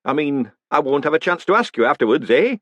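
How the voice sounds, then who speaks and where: A man's voice: fairly high pitch, very animated, brisk, fairly bright tone, no echo, voice pushed up. Man doing a cheery, chatty hangman. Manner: friendly, businesslike, calls everyone sir. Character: cheerful obliging male hangman.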